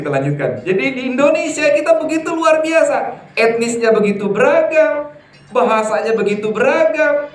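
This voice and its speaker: High-voiced preacher in an echoey hall. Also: male voice. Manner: lively, rising to emphatic peaks, asks the congregation questions. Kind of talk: sermon to a congregation. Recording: echoey hall from far off, faint ambience.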